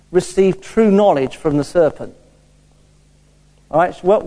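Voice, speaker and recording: A man teaches from the Bible as a preacher, informal and conversational. His voice sits a little high for a man yet stays soft and mellow, with wry asides between explanations, and it rings with the long reverb of a hall.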